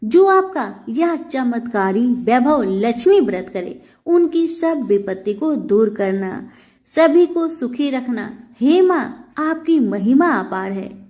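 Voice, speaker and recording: A woman's voice: a little high, expressive, slow, warm tone. A woman voicing a devout, pleading wife praying reverently to a goddess in a devotional storybook reading. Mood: earnest prayer.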